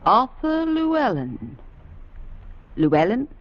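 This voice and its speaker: An actress playing a cryptic, eerie village innkeeper, measured and enigmatic, in 1940s radio drama style. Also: female voice, fairly high pitch, huge pitch swings, heavily acted. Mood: knowing, mysterious. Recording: muffled old radio recording.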